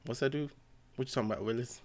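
A man in his thirties, a comedian chatting casually and telling animated stories. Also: rapid-fire. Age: 30s. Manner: animated storyteller, jumps high into character voices. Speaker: comedian guest. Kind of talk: casual chat.

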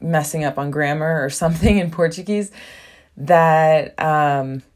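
A woman's voice: low voice, unhurried. A young woman hosting a casual solo podcast from a small bathroom at home, rambling with lots of fillers and frequent laughs. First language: English. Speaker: podcast host.

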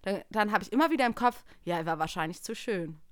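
A young adult woman talking casually as a podcast co-host, telling personal stories in an animated way and quoting what people said. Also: medium pitch, fast talker, no echo.

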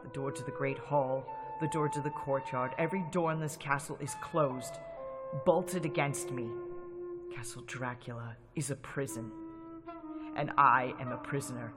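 Man, radio drama narration, dramatic, tense, loud music bed. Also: medium pitch, steady tone, normal speed. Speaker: narrator and lead actor.

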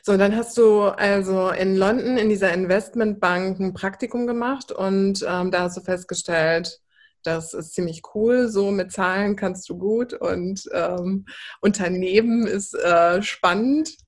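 A woman's voice: medium pitch, a little slow. A woman hosting a talk, reacting with enthusiasm and asking conversational questions. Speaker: podcast host. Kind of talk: casual interview talk.

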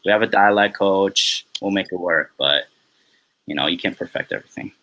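A young man speaks as a podcast guest in a bright, forceful voice. He rambles and pads his sentences with "you know" and "um". The talk is relaxed and conversational. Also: low voice, normal speed. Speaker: podcast guest, film assistant director. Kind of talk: casual interview chat.

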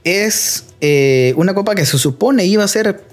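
Co-host, man, fairly high voice, chatty explainer, playful asides. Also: lively intonation, measured pace. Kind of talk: casual podcast chat about football news.

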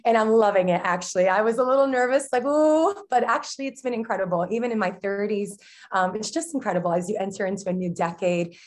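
A woman's voice: mid-range voice, fast talker, a little mellow. A woman in her forties from New Jersey, a guest pastor chatting casually on a podcast, bubbly and laughing often as she speaks with faith-filled enthusiasm. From New Jersey, USA. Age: 40s.

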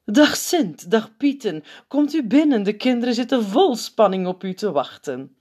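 A woman voicing a small, plump older nun who speaks in a brisk, polite, welcoming way. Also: on the high side, big pitch swings, a little mellow, pitched above the performer's own voice.

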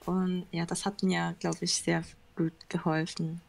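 A young woman whose first language is Japanese answers questions in a relaxed interview. She talks hesitantly, often pausing and adding fillers as she searches for words. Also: lowish voice, creaky, low-quality recording. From Germany. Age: 20s. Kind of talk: casual interview.

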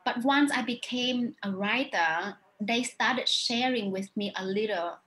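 A woman with a Vietnamese accent answers interview questions in a fairly high voice. She speaks thoughtfully, circling back with restarts and "you know" as she gathers her words. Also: unhurried, a bit bright, rough recording. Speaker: author.

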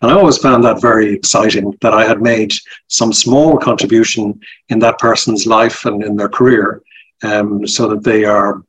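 A man's voice: on the low side, fairly even intonation, normal speed, breathy edge, rough recording. A middle-aged man with a Dublin Irish accent, chatting as an interview guest in a rambling, hesitant way full of ums and restarts. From Ireland. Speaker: guest, company director. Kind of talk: casual interview chat.

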